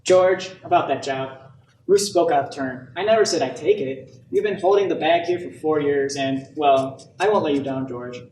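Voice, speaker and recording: A man's voice: a little high, normal speed, darkish tone, close to an everyday voice. A young man playing a breezy, affable younger brother in an amateur radio play. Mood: apologetic. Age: young adult. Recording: echoey room, distant mic, some noise.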